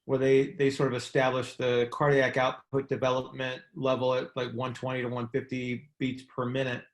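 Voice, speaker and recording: Male coach, fry-edged voice, explanatory and conversational, often checking understanding; roomy, echoing sound. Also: medium pitch, completely flat delivery, normal speed. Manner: explanatory, checks in with rhetorical questions. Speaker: coach and mentor hosting a group call. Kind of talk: conversational expert advice. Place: indoor room, on a conference call.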